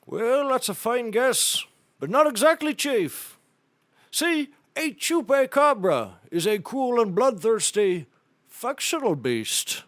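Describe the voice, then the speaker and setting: A man's voice: very high voice, big pitch swings, slow pace, fairly bright tone, no room sound, studio quality, full character voice. An actor playing a folksy, rambling eccentric man in a comedy series, drawing out his words. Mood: conspiratorial, dramatic. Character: eccentric odd-jobbing man pitching a monster hunt. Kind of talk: comedic audio drama acting.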